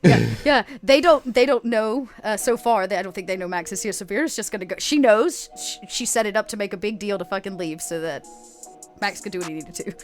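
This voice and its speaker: A woman's voice: medium pitch, brisk, faint music behind. A woman playing a tabletop game, casually describing her character's actions as she improvises. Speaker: tabletop player.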